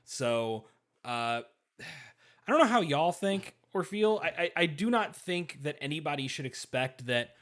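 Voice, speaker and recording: Higher-voiced man talking games. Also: expressive, a little slow, a bit bright. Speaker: panelist on a gaming talk show. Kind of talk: casual discussion of gaming news.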